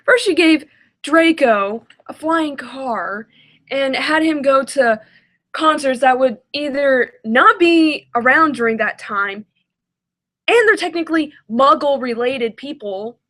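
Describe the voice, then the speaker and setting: A woman's voice: sits high, lively intonation, takes it slowly, a bit bright. A woman giving casual reaction commentary as a video host, hesitant and self-deprecating.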